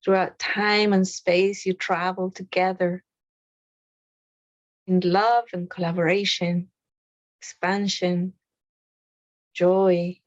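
A woman leading a guided meditation, speaking softly with long pauses between short phrases. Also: fairly low pitch, level tone, dry recording, muffled recording. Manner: slow, soft, with long pauses.

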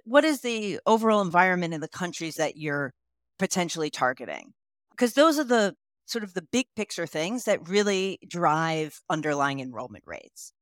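An American woman in her forties speaks in a lowish, smooth voice. She talks as a guest on a podcast, careful and measured, filling pauses with soft "um"s. The sound is close and dry. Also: no echo. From United States. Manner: measured, many ums while thinking. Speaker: CEO guest. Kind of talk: podcast interview.

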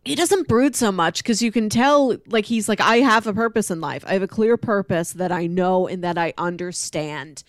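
A woman's voice: mid-range voice, moderate pace, few pauses, dry recording, studio quality. A woman co-hosting a podcast, chatting casually and informally about books in a conversational way. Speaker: podcast co-host.

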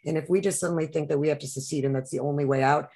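A woman's voice: low voice, steady tone, very fast, warm tone. Impassioned rambling woman. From New York. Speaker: podcast guest. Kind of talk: emotional political conversation.

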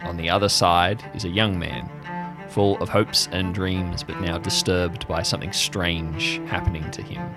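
A man narrating an improvised audio drama as game master, in a measured, dramatic storytelling manner. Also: low voice, steady tone, music in the background.

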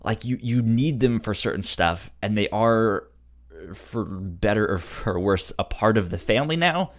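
A podcast co-host chatting casually about a series, in a halting way that often repeats words and starts over. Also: medium pitch, expressive.

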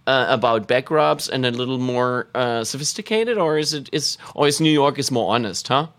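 A man's voice: medium pitch, animated, normal speed, a bit bright. A man with a mid-range voice banters on a live stream, trading jokes and egging the audience on. He talks loosely, with plenty of fillers and restarts, and plays up his own puns. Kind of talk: casual banter, telling puns.

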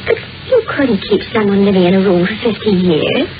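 A woman's voice: mid-range voice, expressive, a little fast, close to an everyday voice. An actress playing a chatty, gossipy neighbor woman in a 1940s radio drama, talking in a folksy way. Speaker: Mrs. Graydon, a gossipy neighbor. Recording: dull old radio broadcast with music.

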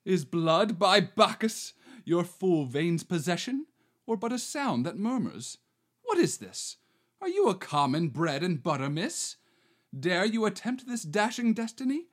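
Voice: mid-range voice, very animated, a little slow. A performer playing a swaggering male bandit who poses as a romantic marquis, delivering verse theatrically. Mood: grandiose. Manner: bombastic, theatrical verse delivery with wild swings. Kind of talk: amateur reading of a verse play.

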